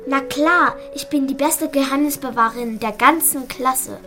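Very high-pitched young girl, confident, practical, matter-of-fact, cheeky, radio drama. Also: normal speed, bright, forceful tone, soft background music. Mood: curious, teasing. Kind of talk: German children's radio play acting.

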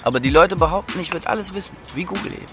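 An actor playing a chatty, cocky know-it-all who trades in gossip, in a radio drama. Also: male voice, high voice, rapid-fire, close to an everyday voice. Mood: sly, chatty. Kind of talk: naturalistic radio drama acting. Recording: music under the scene. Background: music.

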